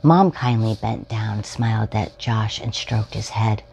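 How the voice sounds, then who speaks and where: A woman's voice: rumbling low, a little slow, creaky, slight background noise. A woman in her forties reading a children's story aloud expressively, as a storytime host. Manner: expressive, addressing young children. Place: small furnished room at home, indoors.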